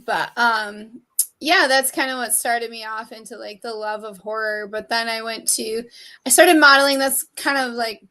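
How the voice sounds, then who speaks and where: A woman in her thirties talks casually as an interview guest. Her voice sits a little high. She swears freely and laughs often. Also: steady tone, normal speed, sharp tone. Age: 30s. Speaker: guest, horror actress and model.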